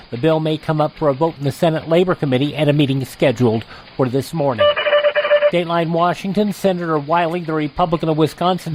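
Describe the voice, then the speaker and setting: A man's voice: on the high side, slight background noise, poor audio. A man with an American accent reading scripted intros and old news headlines as a radio host.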